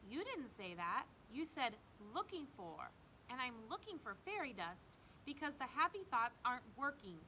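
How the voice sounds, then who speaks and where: Woman voicing an insistent, whimsical little girl, bright-toned. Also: sits high, sing-song, moderate pace, slight background noise, heavily acted, low-quality recording. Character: whimsical, stubborn little girl obsessed with fairy dust.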